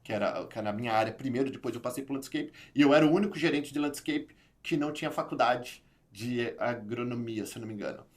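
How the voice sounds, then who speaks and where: A man from Brazil with a São Paulo accent, a guest in an interview, telling his story in an animated, casual way with frequent restarts. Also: mid-range voice. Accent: São Paulo Brazilian Portuguese. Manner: animated, talks in quick bursts with many restarts. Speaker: interview guest, YouTube channel creator.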